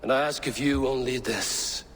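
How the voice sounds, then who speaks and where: An actor playing an earnest, humble Viking clan leader, making slow oratory in a game cutscene. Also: male voice, mid-range voice, fairly even intonation, a bit bright, close to an everyday voice. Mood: solemn, earnest. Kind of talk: dramatic video game cutscene acting. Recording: long hall reverb, faint noise.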